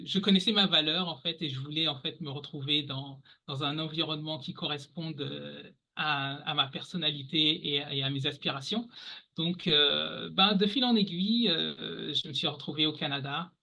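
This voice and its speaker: A man's voice: a little high, gravelly, pushed and bright. A man from the Paris region speaking as a podcast guest in his forties, talking casually with many fillers and restarts. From France, Paris region. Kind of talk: casual interview talk.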